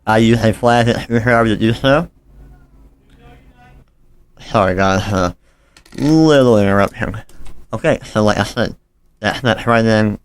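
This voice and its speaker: A man's voice: lowish voice, unhurried, pauses often, clear, resonant tone. A man talking informally and a bit apologetically through a hands-on phone repair tutorial as he works. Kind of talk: casual hands-on how-to narration.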